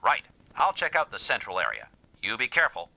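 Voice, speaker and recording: Video game squad commander, authoritative and protective, animated mid-pitched voice, clipped orders, noisy track. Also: male voice, fairly quick, creaky, full character voice. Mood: businesslike. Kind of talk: anime-style English video game voice acting.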